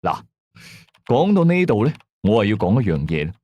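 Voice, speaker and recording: A man with a Hong Kong Cantonese accent tells a horror story in the first person, in a suspenseful, colloquial, expressive way. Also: fairly low pitch, normal speed, dry recording. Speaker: ghost story narrator. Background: music behind the voice.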